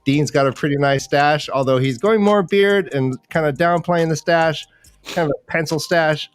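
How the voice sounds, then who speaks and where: A man's voice: fairly high pitch, moderate pace, clear, resonant tone, no echo, faint music behind. A man hosting a fantasy football livestream with casual, jokey banter and game previews, rambling and repeating phrases for emphasis.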